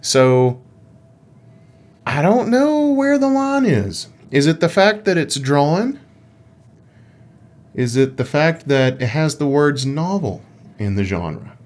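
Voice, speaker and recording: A man's voice: on the high side, big pitch swings, slow pace, long pauses, darkish tone. A man co-hosting a podcast in casual conversation, thinking aloud in an informal, hedging way. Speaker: podcast co-host.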